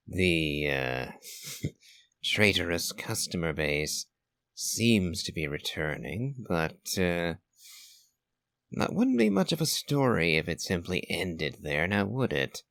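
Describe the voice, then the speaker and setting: Very deep, slow, musing man. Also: very animated, gravelly, fairly bright tone. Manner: deliberate, pondering, wordy. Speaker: game player and commentator. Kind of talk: slow, musing game commentary and dialogue reading. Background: game music.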